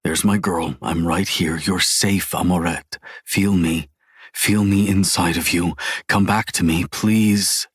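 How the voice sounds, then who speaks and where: Protective male lover, low and soothing, as a woman narrator puts him on. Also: steady tone, slow, slightly rough, no echo, clean studio sound, heavily acted. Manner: soft, soothing, coaxing. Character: tender, protective young man, devoted lover.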